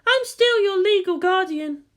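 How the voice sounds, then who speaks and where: Guy doing a grown woman guardian, high and bright, blunt and worried for her ward, storybook reading. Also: expressive, unhurried, full character voice. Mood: concerned.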